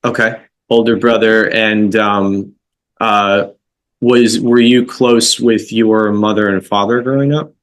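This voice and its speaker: A man hosting a podcast interview, speaking slowly and gently, affirming his guest with soft fillers. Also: fairly low pitch, steady tone. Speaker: podcast host.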